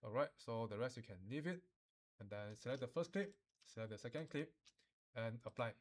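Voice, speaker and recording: A man giving an informal, colloquial walkthrough as a software tutorial instructor. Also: medium pitch, brisk. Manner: colloquial, with sentence-final particles and Chinese-English code-switching. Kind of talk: informal screen-recorded software demo.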